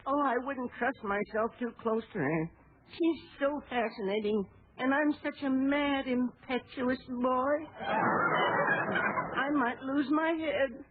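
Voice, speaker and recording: A man's voice: very high-pitched, very animated, slow pace, full character voice. A man playing a doleful, timid postman with prim, flowery airs in a 1940s radio comedy. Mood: mournful, silly. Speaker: comic supporting actor. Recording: tinny, noisy 1940s radio recording.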